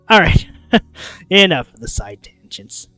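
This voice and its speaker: This is a livestreamer reading a story aloud with a very animated, swooping delivery. The pace is unhurried and the sound is close and dry, with clear music playing underneath. The manner is casual, with goofy asides slipped between the narration. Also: mid-range voice, dry recording. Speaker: streamer reading a visual novel aloud.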